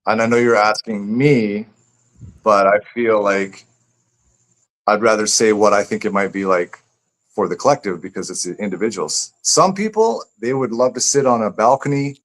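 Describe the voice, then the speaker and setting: A man's voice: fairly low pitch, normal speed, a bit bright, low-quality recording. A man speaking as a guest author in a casual live interview, reflective and rambling, repeating phrases as he thinks aloud.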